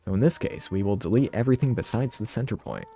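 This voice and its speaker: Casual streamer, lower-pitched man with animated pitch, stuffy and sniffly, thinks out loud. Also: fast, vocal fry, faint music behind. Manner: rambling, self-interrupting, thinking aloud. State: sniffly from a cold. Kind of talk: casual live-stream tutorial chat.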